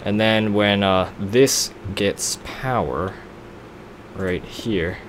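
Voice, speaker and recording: Low-voiced young man rambling over gameplay. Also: drawn-out words, noisy background. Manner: rambling, self-deprecating, talks to himself while building. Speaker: let's play gamer. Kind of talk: casual gameplay commentary.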